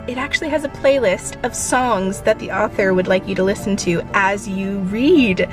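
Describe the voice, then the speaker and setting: A young woman chatting casually as a book vlogger, expressive and a little self-deprecating. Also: medium pitch. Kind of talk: casual vlog chat.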